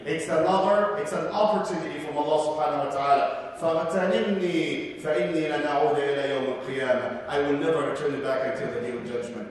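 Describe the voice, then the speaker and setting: Guy preaching in a big mosque hall, kinda high voice, loud then quiet, tons of echo. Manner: impassioned, shifts between loud urging and hushed asides, quotes Arabic rapidly. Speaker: guest imam giving the Friday sermon. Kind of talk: sermon. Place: large mosque prayer hall. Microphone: handheld mic. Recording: roomy hall reverb, mic from a distance.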